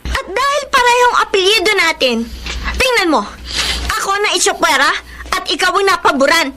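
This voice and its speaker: A woman's voice: very high-pitched, animated, normal speed. A woman acting a jealous, spiteful young half-sister in a Filipino radio drama, confrontational and taunting. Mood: accusing. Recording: clearly noisy background.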